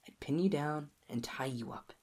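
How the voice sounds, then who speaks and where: A woman voicing an obsessive, clingy young lover in an audio roleplay, speaking softly and pleadingly in a husky, whispery voice to the listener. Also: a bass voice, expressive, moderate pace, full character voice. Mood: quietly sinister.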